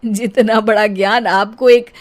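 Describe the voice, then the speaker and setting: A woman's voice: mid-range voice, fairly even intonation, a little slow, natural voice. An actress voicing a smug, teasing middle-aged man who is a neighbor. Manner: teasing, sly.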